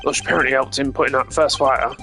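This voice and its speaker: An eager male junior detective from a video game, played by a streamer. He sounds earnest and deferential at a middling pitch, with background music. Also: fairly even intonation, rapid-fire, sharp tone. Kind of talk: video game character voice-over.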